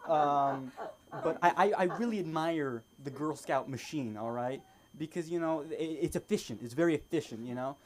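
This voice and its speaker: A man doing observational stand-up in a halting way, repeating phrases and checking in with little tag words. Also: on the high side, crowd noise. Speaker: stand-up comedian. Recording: noisy live open mic room.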